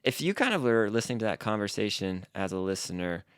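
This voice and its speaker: A man's voice: lowish voice, very animated, quick pace, clean tone, no room sound, studio quality. A man co-hosting a casual podcast, explaining Japanese phrases in an easygoing, fluent way. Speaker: podcast co-host teaching Japanese. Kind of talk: casual language-lesson chat.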